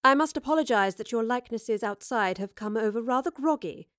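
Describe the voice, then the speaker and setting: A determined, wry gentlewoman from a storybook, voiced by a woman narrator. Her voice is fairly high and closely recorded, with brisk, understated scorn. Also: lively intonation, dry recording, studio quality. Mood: wry, defiant.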